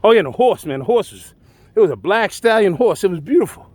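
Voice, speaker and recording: A man's voice: sits high, animated, quick pace, a little mellow, no room sound. A man telling a first-person tall tale in a colloquial, exclamatory, dramatic way. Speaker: storyteller.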